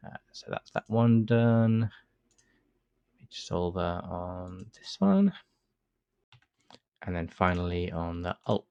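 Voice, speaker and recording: Deep gravelly man with a stuffy cold. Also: slow pace, long pauses, no room sound, lo-fi sound. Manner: relaxed step-by-step explaining with many uh fillers. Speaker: astrophotography tutor. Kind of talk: screen-recorded software tutorial.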